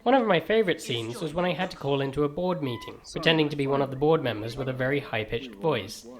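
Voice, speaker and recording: A man's voice: a little high, expressive, fast, a crowd in the background. A man with a transatlantic accent narrating a scripted game review in a wry, dry-humoured manner.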